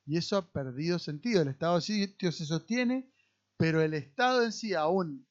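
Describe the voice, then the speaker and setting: A man's voice: on the high side, expressive, normal speed, highs cut off. A man with a Rioplatense accent giving casual political commentary as a podcast co-host, explaining things analytically. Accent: Rioplatense Spanish.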